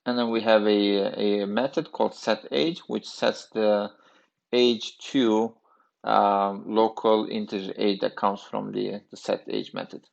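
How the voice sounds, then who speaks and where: A man in his thirties walks through code in a screencast lesson, pausing and restarting as he thinks aloud. His voice sits a bit low with a gravelly edge. The recording sounds dull and lo-fi. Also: fairly even intonation. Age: 30s. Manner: halting, thinking aloud with fillers. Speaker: programming instructor.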